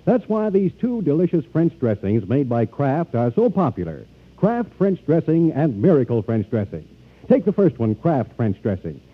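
A man's voice: a little high, husky. A man reading a scripted 1940s radio advertisement as an announcer, heard through a narrow, tinny old recording.

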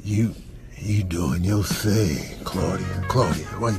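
A man voicing a smooth-talking, amorous male lion who coaxes a huntress in a comedy animal dub. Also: sits low, slow pace, over music. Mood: flirtatious. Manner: drawling, coaxing.

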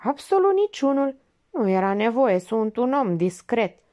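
An easily offended male bit-part actor dodges questions with curt, defensive answers, as a woman reading aloud voices him. The voice sits high with lively swings in pitch.

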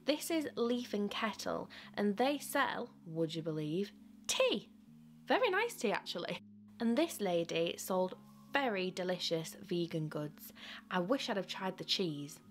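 A woman's voice: medium pitch, very animated, measured pace, faint music behind. A young woman from England, gushing and enthusiastic as she narrates a vlog and shows off her haul from home. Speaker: vlogger. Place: living room at home, sitting on floor.

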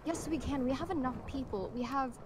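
A woman's voice: high-pitched, fairly quick, noisy background, lightly acted. A woman playing a stern, argumentative survivor with formal, clipped speech in a game roleplay. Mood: insistent. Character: stern, defiant young female zombie-apocalypse survivor challenging the leader.

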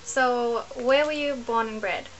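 A woman's voice: a little high, slow pace, slight background noise, rough recording. A young woman from New Zealand, chatting to camera in a rambling, self-correcting way from her bedroom, recorded on a laptop webcam. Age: young adult. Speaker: vlogger. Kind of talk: casual chat to camera. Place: small bedroom indoors.

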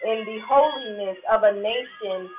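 A preacher giving a sermon, pausing often with fillers and restarts and checking in with "right?". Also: medium pitch. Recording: tinny phone-like recording with faint music.

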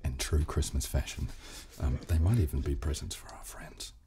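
A man in his thirties hosting a soft ASMR chat close on a desk microphone, hushed, halting and polite. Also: rumbling low, fairly even intonation. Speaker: host of an ASMR channel. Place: small furnished studio room, indoors. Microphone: close desk condenser microphone.

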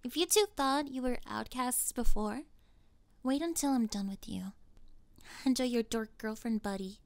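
A woman's voice: fairly high pitch, normal speed, gravelly, no echo. A woman voicing a catty, spoiled teenage cheerleader with a scornful, sarcastic manner in an audio roleplay. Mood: outraged, contemptuous. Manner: scornful, sarcastic, laughing derisively. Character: snobby mean popular teenage cheerleader girl, spoiled and catty. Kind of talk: ASMR roleplay acting.

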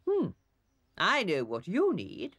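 A performer voicing a brisk, bossy village woman who offers bedtime remedies emphatically in a children's cartoon storybook. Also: mid-range voice, wildly swooping pitch, fairly bright tone, breathy edge, pitched above the performer's own voice, full character voice. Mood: knowing, helpful. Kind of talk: slow cartoon storybook character acting.

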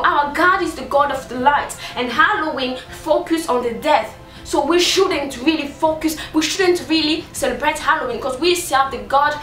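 Young woman from Congo, high voice, insistent preaching, rhetorical questions, echoey room, a little off-mic. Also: fairly bright tone, far from the mic. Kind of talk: earnest preaching to camera. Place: small room indoors, seated on sofa.

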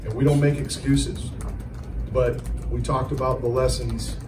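A middle-aged man, a head basketball coach, giving measured answers at a postgame press conference, often repeating words as he thinks. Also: medium pitch, fairly even intonation, over music. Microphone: table mic, recorded from the audience. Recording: echoey room, far from mic, noisy.

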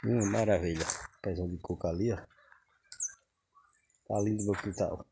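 A man with a very deep voice walks through his backyard, enthusiastically pointing things out. He talks casually to the viewers. His phone picks up a clear layer of outdoor noise. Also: fairly even intonation, moderate pace. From Northeast Brazil. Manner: enthusiastic, repeats "que maravilha" and "olha". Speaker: vlogger. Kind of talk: casual vlog tour of his backyard garden. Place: outdoors in a sunny backyard garden. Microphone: phone camera held while walking.

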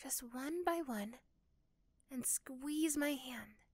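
Sweet, high-voiced young girl next door, acted by a woman. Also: takes it slowly, breathy edge, no room sound, exaggerated voice. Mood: gentle, caring. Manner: soft, hesitant, gentle. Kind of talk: ASMR roleplay acting.

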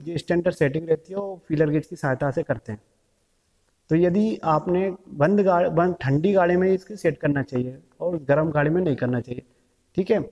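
Middle-aged male mechanic instructor, slightly high pitch, repetitive tutorial delivery, reverberant workshop sound. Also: dark tone, lo-fi sound. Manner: repetitive, instructional, checks in with "okay". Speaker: motorcycle mechanic trainer. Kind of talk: hands-on tutorial explanation. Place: large bare indoor training workshop. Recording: echoey hard-walled workshop.

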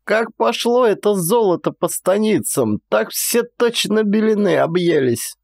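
A man's voice: high voice, animated, unhurried, gravelly, dry recording, clean studio sound, voice pushed up, full character voice. A man voicing a garrulous, grumbling old Cossack village woman in a one-man audio play, with folksy, plaintive rustic speech. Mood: lamenting.

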